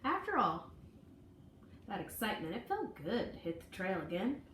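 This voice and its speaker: Woman storybook reader, very animated pitch, unhurried, folksy dramatic emphasis, echoey room. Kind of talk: expressive children's story read-aloud. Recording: echoey room, distant mic, faint noise.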